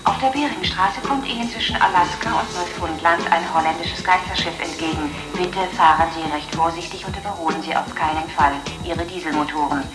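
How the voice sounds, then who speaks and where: An actress playing a straight-faced water police announcer, reading a formal bulletin in a radio play. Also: female voice, fairly low pitch, fairly quick, few pauses, reverberant, over music, close to an everyday voice. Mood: formal, deadpan. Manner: formal, deadpan.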